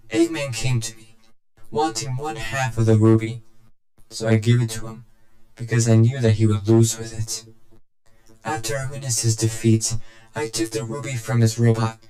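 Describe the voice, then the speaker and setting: An actor voicing a scheming, vengeful game villain who tells his story in a deliberate, condescending, smug way. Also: fairly low pitch, completely flat delivery, a little mellow, roomy sound, soft background music, natural voice, poor audio. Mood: smug, taunting. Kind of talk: dramatic video game cutscene monologue.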